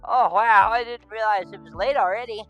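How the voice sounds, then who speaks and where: A flustered, studious young dino boy, as a male reader puts him on, his voice pushed up high and loud. He blurts out jumpy, rising exclamations, never quite settled. It sounds like a live visual novel reading with faint music underneath. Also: moderate pace. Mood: surprised.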